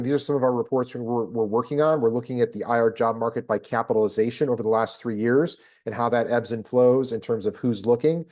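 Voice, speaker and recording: A man hosting a business data presentation, explaining figures in a measured, analytical way. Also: medium pitch, a little mellow. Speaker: host presenting a job market report.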